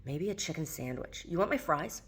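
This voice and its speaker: A woman's voice: low voice, lively intonation, fairly quick, husky. A woman reading as a breezy, teasing young woman. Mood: casual, teasing. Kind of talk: acted dialogue in a novel reading.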